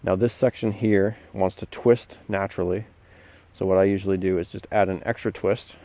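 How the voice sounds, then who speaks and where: A man, an arborist instructor, calmly walking through tying a knot step by step outdoors in the snow on a head-mounted camera. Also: low-pitched, normal speed, no echo. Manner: steady, plain step-by-step explaining. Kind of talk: hands-on knot demonstration. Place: outdoors among snowy trees. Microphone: head-mounted action camera. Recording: dull lo-fi camera audio.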